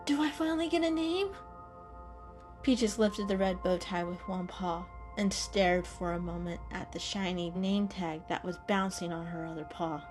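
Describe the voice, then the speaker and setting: A woman's voice: lowish voice, fairly even intonation, moderate pace, few pauses, over music. A woman reading a story aloud as a storyteller, in dramatic storybook narration.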